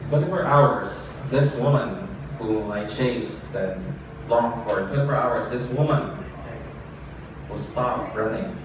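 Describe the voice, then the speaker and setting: A man's voice: mid-range voice, unhurried. A man performing spoken word poetry live, wry and anxious, with swearing and repeated refrains. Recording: roomy echo, far from mic, ambient noise.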